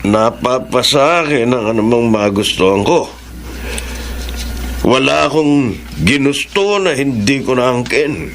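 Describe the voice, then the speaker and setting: A man's voice: medium pitch, a little hiss or hum. An actor playing a wealthy, possessive bachelor businessman who broods over drinks, in a radio drama. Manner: slow, brooding. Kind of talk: classic radio drama acting.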